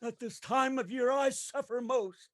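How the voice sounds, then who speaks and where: An actor playing the tormented, remorseful ghost of a dead miser in a radio play, declaiming mournfully with moaning, drawn-out cries. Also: male voice, very high-pitched, a bit bright. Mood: bitter, remorseful. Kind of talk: theatrical radio play acting.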